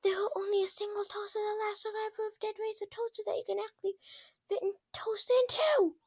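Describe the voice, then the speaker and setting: A woman's voice: extremely high pitch, level tone, fairly quick, a little mellow, no echo, studio quality, pitched above the performer's own voice. A woman voicing an enraged young pony princess, ranting in a melodramatic, exasperated way in an amateur story reading. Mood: shrill frustration. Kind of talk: amateur fan fiction character reading.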